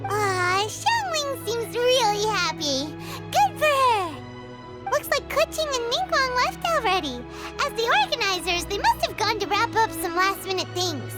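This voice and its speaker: A woman voicing a tiny childlike fairy companion in a video game, squeaky, chirpy and blunt. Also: sing-song, unhurried, heavily acted. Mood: curious, chirpy. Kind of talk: cartoonish video game voice acting. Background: background music.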